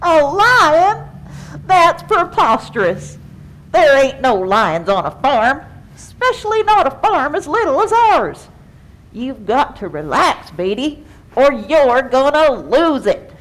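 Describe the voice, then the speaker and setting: A woman plays a folksy farm dog in a children's puppet show. The talk is rustic and countrified. The delivery is lively, with plenty of rise and fall. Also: high voice, slow pace, heavily acted, low-quality recording. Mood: amused, dismissive.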